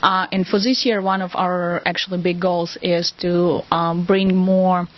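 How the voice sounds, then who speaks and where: A woman's voice: lowish voice, flat delivery, unhurried. A woman with a Russian accent explaining things as a company representative in a casual interview, in a hesitant way. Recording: dull lo-fi audio.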